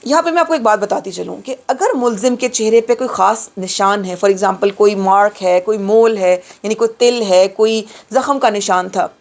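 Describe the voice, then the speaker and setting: A woman's voice: mid-range voice, normal speed, hardly any pauses. A middle-aged Pakistani woman giving an explanatory lecture, emphatically repeating and rephrasing key points and mixing in English phrases. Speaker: lawyer giving a law lecture.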